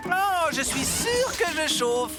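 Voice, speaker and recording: Eager childlike cartoon digger, high and swooping. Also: male voice, very animated, full character voice. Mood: eager, excited. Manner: bubbly, drawn-out exclamations. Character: eager, childlike talking digger machine, male, good-hearted. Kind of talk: French cartoon dub. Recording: loud music behind the voice.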